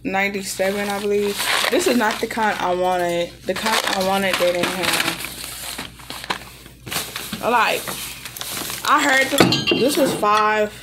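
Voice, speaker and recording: Irritated woman venting over loud background noise. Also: medium pitch, takes it slowly, pauses often. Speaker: vlogger. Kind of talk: casual rambling vlog chat. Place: room in an apartment.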